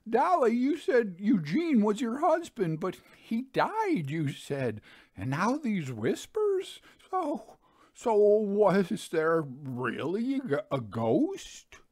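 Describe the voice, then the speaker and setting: Meek, dim male medium for a storybook, acted by a man: high, whiny, whimpering, haltingly unsure. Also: huge pitch swings, darkish tone, heavily acted. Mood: bewildered, whimpering. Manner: whimpering, faltering.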